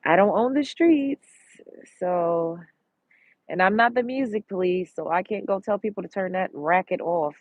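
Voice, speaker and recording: A woman's voice: medium pitch, moderate pace, no echo, phone-quality sound. A congested woman from Brooklyn, chatting bluntly and profanely on a live stream, outdoors on a street, reading viewer comments. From Brooklyn, New York.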